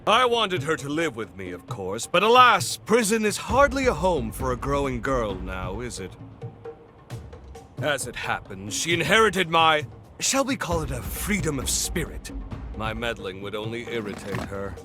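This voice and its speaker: A man playing a grandiose, eccentric, tea-loving gentleman in a video game, with a florid, pompous and theatrical way of talking. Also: very animated, normal speed, natural voice. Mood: wistful, fond. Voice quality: high-pitched, swooping delivery. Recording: music behind the voice. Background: clear background music.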